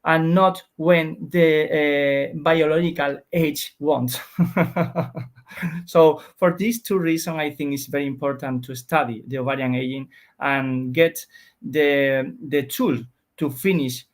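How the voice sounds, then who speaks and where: A man's voice: a little high, steady tone, slow. A man with a Spanish accent explains research as an interview guest, searching for words in non-native English.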